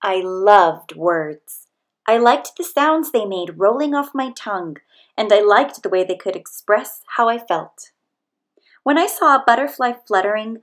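A woman reading a children's picture book aloud as scripted narration, with expressive, deliberate storytelling delivery. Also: mid-range voice. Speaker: storybook reader.

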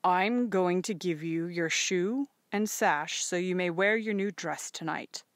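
A stern but fair farm father from a storybook, voiced by a woman. The delivery is measured and calm, with quiet firmness in a close, dry sound. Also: fairly low pitch, no room sound. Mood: calm, gentle. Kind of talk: audiobook character voice.

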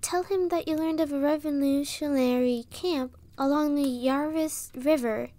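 A woman's voice: sits high, measured pace, mellow, no echo. A woman playing an eager, plucky, clever young girl helper in a fantasy audio roleplay, earnest and youthful. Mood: eager scheming.

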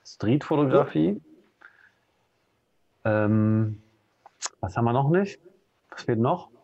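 A man in his thirties with a Berlin accent, co-hosting a casual live stream from home, relaxed and full of hesitations. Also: mid-range voice, slow, vocal fry. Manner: relaxed, many fillers and hesitations. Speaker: co-host. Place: home room with bookshelf. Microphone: video call webcam. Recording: dull lo-fi video call audio.

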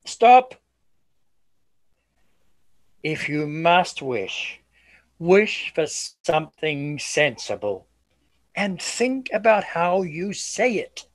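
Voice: mid-range voice, sing-song. An actor in a radio play voicing a grave, cautioning, well-traveled family friend. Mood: stern warning. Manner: grave, halting.

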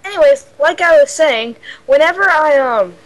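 A goofy young woman is joking through a multiplayer game with friends. Her voice is high and very lively, leaping up and down in pitch. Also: normal speed, low-quality recording. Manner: goofy, silly outbursts. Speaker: gaming friend. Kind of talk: casual multiplayer gaming chat.

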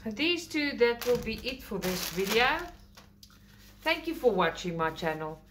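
A woman's voice: medium pitch, sing-song, unhurried, background noise. A woman with a South African accent, a native Afrikaans speaker, chatting enthusiastically as she unboxes a craft kit at a table indoors. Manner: chatty, enthusiastic, rambling with self-corrections. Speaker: craft channel host. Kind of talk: casual unboxing talk to camera. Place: indoors at a table, filming overhead.

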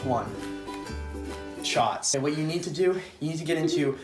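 A man's voice: on the high side, normal speed, reverberant, over music. A young man from Massachusetts, a college student, listing mock-serious winter tips in a cocky, bossy way. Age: young adult. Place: dorm room, indoors.

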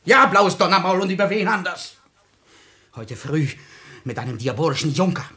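An actor playing a hot-tempered older town musician and father in a 1950s German radio play, gruff and blustering. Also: high voice, lively intonation, moderate pace, bright, forceful tone. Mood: irate, flustered. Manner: gruff, cursing, colloquial bluster.

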